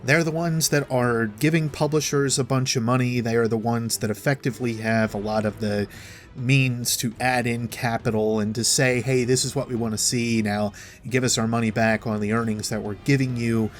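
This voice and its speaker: A man's voice: mid-range voice, steady tone, moderate pace. A man delivering an opinionated, wordy monologue as a gaming commentator, talking in a semi-improvised way. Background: background music.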